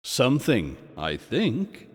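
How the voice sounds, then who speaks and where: Man voicing a calm, slow otherworldly spirit. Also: mid-range voice, husky, long reverb tail, full character voice. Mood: gently probing. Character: solemn, probing ghostly spirit, calm and otherworldly.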